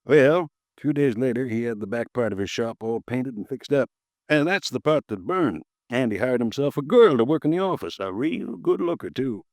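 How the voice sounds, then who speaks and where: A folksy, easily riled insurance agent in his fifties, voiced by a man in an old radio drama. His pitch swings widely as he talks. The sound is dry and close. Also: lively intonation, moderate pace, dry recording, lightly acted. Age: 50s. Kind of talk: 1950s radio drama acting. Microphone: close studio mic, dry.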